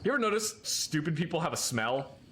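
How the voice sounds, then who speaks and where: A man casually telling military anecdotes, animated, with laughing asides. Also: on the high side, brisk, slight background noise. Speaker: podcast guest, military veteran. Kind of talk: casual storytelling.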